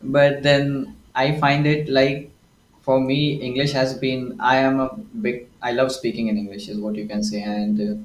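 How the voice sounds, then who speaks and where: A man's voice: medium pitch, flat delivery, a little slow, a little hiss or hum. A man from India with an Indian accent, talking casually and reflectively, with many restarts. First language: Hindi. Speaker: host. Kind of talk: casual conversation. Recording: echoey room, faint ambience.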